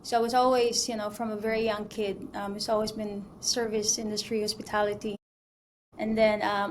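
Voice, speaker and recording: A woman's voice: a little high, slight background noise, rough recording. A woman in her thirties from the Philippines, talking as a guest in a casual interview, with plenty of fillers and restarts. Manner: chatty, many fillers and restarts. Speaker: mortgage broker guest.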